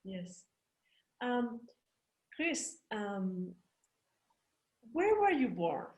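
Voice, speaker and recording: Higher-voiced woman interviewer, echoey room. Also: sing-song, very slow, a little mellow. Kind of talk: casual conversational interview.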